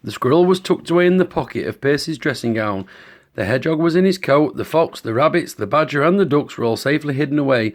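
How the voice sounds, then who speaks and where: A woman with a very low-pitched voice reads a storybook to a child. Her intonation is lively and expressive, and she explains things patiently in an informal, parental way. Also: fast.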